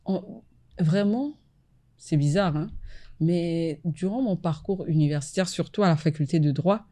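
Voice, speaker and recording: A woman, an environmental lawyer from the Republic of the Congo, answering interview questions in a measured, casual way. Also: lowish voice, dark tone. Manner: measured, repeats "effectivement" often.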